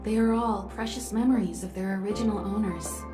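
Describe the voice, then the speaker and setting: A woman's voice: medium pitch, a little fast, reverberant, over loud music, lightly acted. A woman voicing a poised, knowing space-train navigator in a video game, composed and reassuring. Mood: explanatory. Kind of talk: video game character dialogue.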